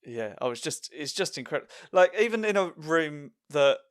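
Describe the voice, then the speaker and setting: A man's voice: on the high side, lively intonation, normal speed, clear, resonant tone. Easygoing male podcast host. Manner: easygoing, lots of fillers and agreeing laughs. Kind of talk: casual podcast chat.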